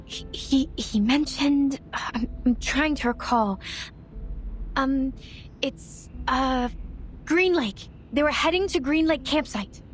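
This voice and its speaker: A woman's voice: sits high, slow pace, faint music behind. A woman voice actor playing a timid, polite young subordinate in a video game, with a hesitant, deferential manner. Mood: nervous.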